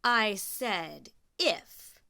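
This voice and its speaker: A woman's voice: mid-range voice, lively intonation, a bit bright, smooth. A woman putting on a mocking, haughty stepmother. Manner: mocking, drawn-out commands. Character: haughty cruel stepmother, middle-aged woman.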